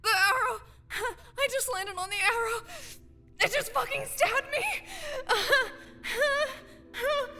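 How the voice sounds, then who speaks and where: A woman's voice: very high-pitched, slow pace, exaggerated voice. A woman playing a snarky, cynical young research assistant in an audio roleplay, talking in a pedantic, lecturing way with scholarly wording. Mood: panicked, pained. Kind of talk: intimate audio roleplay acting, conversational monologue to listener.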